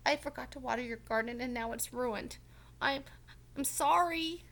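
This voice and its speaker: Woman voicing bright, earnest little storybook boy. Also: high-pitched, moderate pace. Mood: apologetic, sad. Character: Franklin, earnest, anxious forgetful little boy character.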